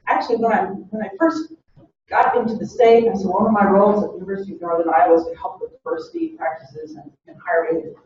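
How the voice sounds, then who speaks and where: A woman's voice: mid-range voice, a little mellow, a little hiss or hum. A woman from Oklahoma presents to a university search committee. She tells a personal story and asks rhetorical questions. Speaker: university diversity administrator. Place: meeting room. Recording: distant, echoey, dull room recording.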